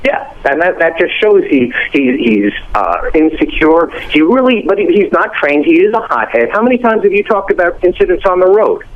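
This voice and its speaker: A man's voice: sits high, very animated, normal speed, hardly any pauses, lo-fi sound. A man giving opinions in casual radio talk, with stammering restarts.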